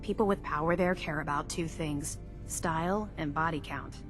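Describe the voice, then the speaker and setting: A woman plays a devoted detective's secretary in a video game. Her voice sits fairly low, earnest and anxious yet wry in its phrasing. Music is clearly heard behind her. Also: moderate pace, lightly acted. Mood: worried, explaining. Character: worried loyal female assistant of a missing detective.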